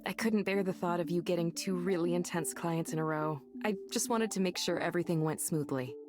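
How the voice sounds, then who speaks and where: A woman's voice: fairly low pitch, brisk, music in the background, natural voice. A woman playing a self-assured supervisor in a visual novel, explaining things in a conversational, confident way. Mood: attentive. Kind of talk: naturalistic visual novel voice acting.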